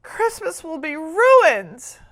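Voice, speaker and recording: A woman voicing an eager, earnest little girl in a Christmas storybook, with an excitable, childlike manner. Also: very high-pitched, extremely slow, voice pushed up, full character voice. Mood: worried.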